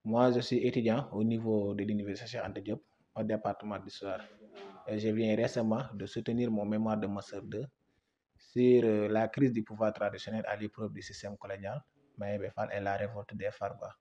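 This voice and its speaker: A man's voice: on the low side, level tone, normal speed, dark tone. A young man from Senegal, a history student, giving a guided museum tour, hesitant and full of fillers and repeated words. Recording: dull lo-fi sound.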